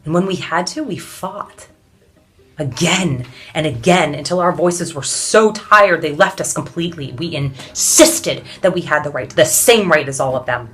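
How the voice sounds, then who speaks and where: An actress playing a weary, defiant suffragette in an intense, deliberate theatrical monologue with heavy pauses. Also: female voice, very deep voice, heavily acted. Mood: defiant, impassioned. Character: weary, defiant suffragette woman recalling hunger and beatings.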